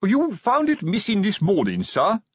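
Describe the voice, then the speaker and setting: Plodding, earnest city-watch sergeant played by a man; slightly raised voice, husky, dry sound. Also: sits high, wildly swooping pitch, unhurried, dry recording, voice pushed up, exaggerated voice. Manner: earnest, common-sounding, trying to sound official. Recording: dull lo-fi recording.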